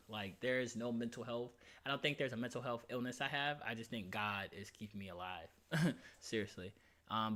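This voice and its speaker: Bright-voiced young man chatting on stream. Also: on the low side. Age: 20s. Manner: candid, reads chat aloud, emphatic slang. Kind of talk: casual livestream chat.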